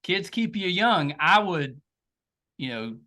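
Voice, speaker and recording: An older man speaks as a podcast host in a bright, forceful voice pitched a little high. His manner is effusive, and he tends to repeat phrases for emphasis. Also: very animated, slow pace. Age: older, grandfather. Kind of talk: podcast host talk and interview.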